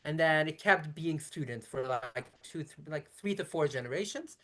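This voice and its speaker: A woman rabbi in her thirties from brooklyn, yiddish first language, talks fast in long explaining runs, keeps restarting and fixing her words mid-sentence. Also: low-pitched, expressive, a bit bright. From Brooklyn, New York. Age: 30s. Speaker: rabbi, interview guest. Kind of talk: conversational interview.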